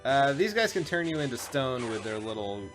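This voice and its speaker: A man with a mid-pitched voice talks casually through a video game as he plays it. His delivery is informal and peppered with swearing, with game music playing faintly behind him. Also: very animated, fairly quick. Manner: informal, profane. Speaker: video game show co-host and player. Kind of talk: casual gameplay commentary.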